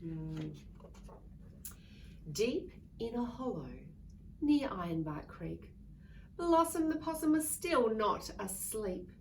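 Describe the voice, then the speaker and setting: A woman reading a picture book aloud to young children in a playful, expressive way, drawing out words and acting out sounds. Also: a little high, slow pace, long pauses. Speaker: children's story reader. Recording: distant mic with faint background noise.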